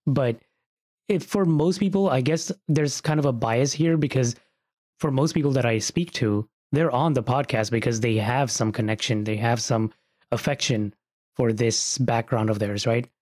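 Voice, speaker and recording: Relaxed man hosting a podcast. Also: mid-range voice. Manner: relaxed, agreeable, quick back-channel replies. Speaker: podcast host. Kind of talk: casual podcast chat.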